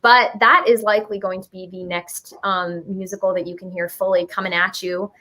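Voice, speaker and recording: A woman's voice: medium pitch, steady tone, moderate pace, bright, forceful tone, slight background noise. Young woman, mid-range voice, informal and gushing interview guest, casual conversational delivery. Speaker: musical writer, interview guest. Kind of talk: casual enthusiastic chat.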